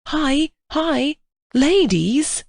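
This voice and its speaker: A woman's voice: medium pitch, sing-song, slow pace, creaky, dry recording, clean studio sound, pitched above the performer's own voice, exaggerated voice. A woman reading aloud, voicing a mild, proper middle-aged clergyman who fusses and protests as things get out of hand. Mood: alarmed. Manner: fussy, protesting.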